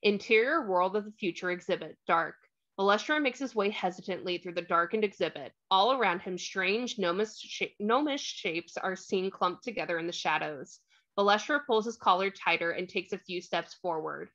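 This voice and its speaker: A woman's voice: medium pitch, normal speed, fairly bright tone. A woman reading scripted stage directions as narrator of a screenplay table read, on a slightly dull microphone.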